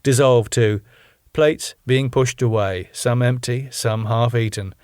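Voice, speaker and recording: A man reading his own sitcom script aloud, stage directions included, with a lively delivery. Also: medium pitch, takes it slowly, clear, resonant tone, dry recording, clean studio sound.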